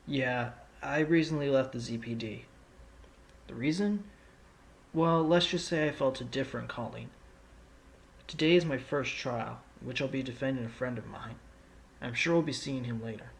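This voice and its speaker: A man's voice: mid-range voice, slight background noise, natural voice. A man playing a sly, laid-back fox, an ex-con turned rookie lawyer, in an amateur audio drama, with a wry, casual, teasing delivery. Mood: reflective, confident. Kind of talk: amateur audio drama with first-person narration. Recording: music bed under the voice.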